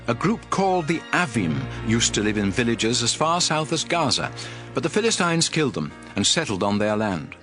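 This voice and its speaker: A man narrating scripture as a dramatized audio Bible, with a measured, expressive storytelling delivery. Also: mid-range voice.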